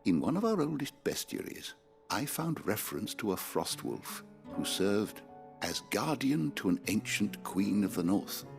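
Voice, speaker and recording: An actor with an English accent playing a learned, courtly scholar in a fantasy game, formal and eloquent. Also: male voice, on the low side, sing-song, a little slow, creaky, over music, heavily acted. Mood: scholarly, intrigued. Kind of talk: video game drama acting.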